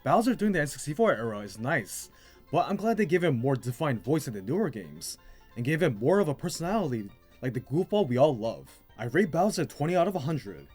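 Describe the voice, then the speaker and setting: A man's voice: on the high side, sing-song, brisk, a little mellow, slightly rough. A man delivering scripted narration as the host of a video game commentary. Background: music.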